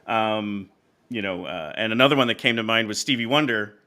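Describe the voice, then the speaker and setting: A man's voice: lowish voice, a little fast, sharp tone. A man hosting a casual chat podcast, talking in a rambling, hesitant way and often interrupting himself. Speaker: podcast host.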